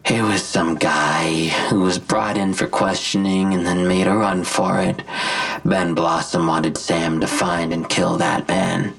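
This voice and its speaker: An actor playing a smug, menacing vigilante villain in an audio drama, articulate and self-righteous, with a gravelly, husky voice and a deliberate, taunting delivery. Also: male voice, deep voice, flat delivery, a bit bright, soft background music, full character voice. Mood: cold, matter-of-fact. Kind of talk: full-cast audio drama acting.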